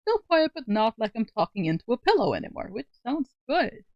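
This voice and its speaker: A woman streamer chatting on a call, soft-spoken and laughing often. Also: on the high side, very animated, brisk, no echo, lo-fi sound. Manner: giggly, laughs often, speaks softly. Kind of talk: casual livestream chat on a call.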